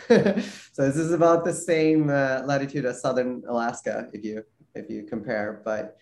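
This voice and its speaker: A man's voice: medium pitch, fairly even intonation, measured pace, darkish tone, roomy sound. A man from Sweden, a guest on a video call interview, talking casually with many fillers and restarts. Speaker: guest researcher.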